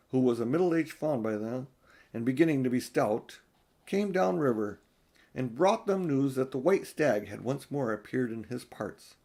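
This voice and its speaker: An older man reads a storybook aloud in a mid-pitched voice. He takes his time over the phrases and leans on key words with clear emphasis, as an amateur-style audiobook narrator would. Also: darkish tone. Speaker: audiobook reader. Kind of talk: storybook read-aloud with casual asides.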